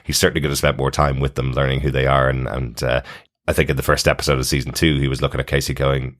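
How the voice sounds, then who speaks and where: A man's voice: a bass voice, little pitch movement, fast talker, a bit bright. A man hosting a podcast in a casual, chatty, conversational way. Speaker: podcast host. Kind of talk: casual TV discussion, reading listener email.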